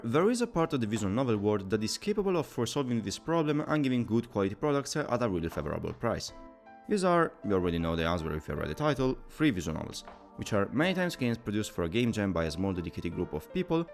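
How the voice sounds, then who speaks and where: A man narrating a scripted video game review as the host of his own channel. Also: fairly low pitch.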